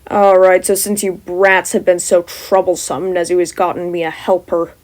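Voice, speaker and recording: Woman acting as exhausted male hero teacher, gruff, irritable, deadpan, blunt, story reading. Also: on the low side, moderate pace, natural voice. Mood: irritated, commanding.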